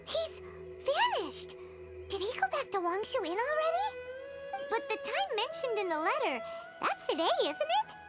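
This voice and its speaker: A woman voicing a tiny, excitable, cheeky fairy girl companion in a video game, exclamatory in manner. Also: very high voice, normal speed. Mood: surprised, curious. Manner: exclamatory, speaks of herself in third person. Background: loud game music.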